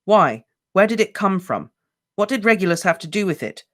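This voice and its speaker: A man's voice: high-pitched, big pitch swings, moderate pace, a bit bright, voice pushed up. Man voicing Harry, a fierce young wizard. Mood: urgent. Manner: urgent, commanding questions. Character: fierce teenage boy wizard. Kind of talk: audiobook character voice.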